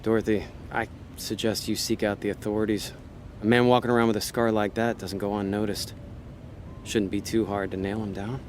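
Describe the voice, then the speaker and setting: A man's voice: lowish voice, flat delivery, fairly quick, a little hiss or hum, natural voice. An actor playing a laid-back, cynical con man in a hardboiled noir radio play, with a wry, slangy, world-weary delivery. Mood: guarded, dismissive. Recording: radio drama with music underneath. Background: prominent background music.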